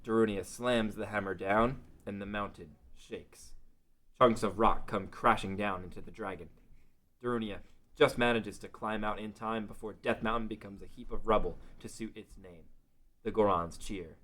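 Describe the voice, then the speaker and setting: A man's voice: on the low side, fairly even intonation, moderate pace, fairly bright tone. A man narrating a scripted fantasy adventure as the narrator of a table read.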